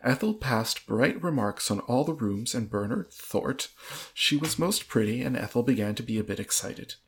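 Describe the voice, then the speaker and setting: A man's voice: mid-range voice, lively intonation, moderate pace, slight background noise. A man reading a novel aloud as narrator on a podcast. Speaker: podcast host.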